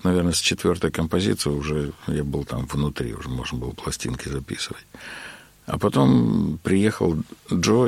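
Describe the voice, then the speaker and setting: A man being interviewed about his work as a keyboard player, telling his story in an unhurried way with many filler words. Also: low-pitched, vocal fry, breathy edge, no room sound.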